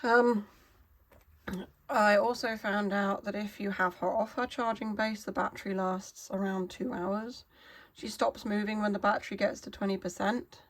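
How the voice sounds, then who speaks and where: A woman with a hoarse, wheezy voice from a cold, explaining a gadget in a casual vlog, halting and apologetic with many fillers. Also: mid-range voice, fairly even intonation. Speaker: vlogger.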